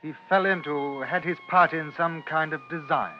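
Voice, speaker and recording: A man narrating a literary 1950s radio drama in a measured, dramatic manner. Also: fairly high pitch, steady tone, faint music behind. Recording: muffled vintage radio recording.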